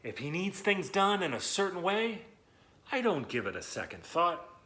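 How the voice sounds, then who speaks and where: A man's voice: sits high, very animated, normal speed, pushed and bright, slight background noise. A man reading and talking to young children in an enthusiastic, encouraging way, speaking to them directly and stretching words for emphasis. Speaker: children's story reader and host. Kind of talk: children's read-aloud and talk to young viewers.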